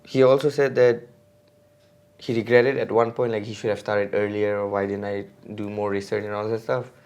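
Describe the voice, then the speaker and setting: Hesitant young man, flat low voice. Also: normal speed, clean tone. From India. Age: 20s. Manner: hesitant, full of fillers and restarts. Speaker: podcast guest, mountain bike racer. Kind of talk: casual podcast conversation.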